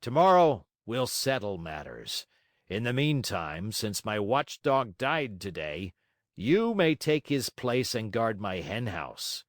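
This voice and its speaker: Angry farmer for a children's tale, male reader: stern, rough, menacing, close dry sound. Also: fairly low pitch, animated, unhurried, dry recording.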